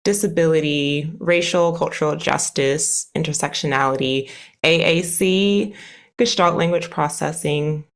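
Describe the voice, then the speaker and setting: An American woman with a fairly low voice talks as a podcast guest. She speaks casually and enthusiastically, laughing easily as she goes. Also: measured pace. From United States. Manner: enthusiastic, lists many topics, laughs easily. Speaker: guest speech therapist. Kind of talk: casual interview talk.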